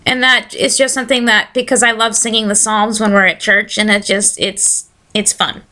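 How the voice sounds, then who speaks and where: Chatty woman host, bright slightly scratchy voice. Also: mid-range voice, flat delivery, normal speed. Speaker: podcast host. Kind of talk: casual solo podcast chat with scripture readings.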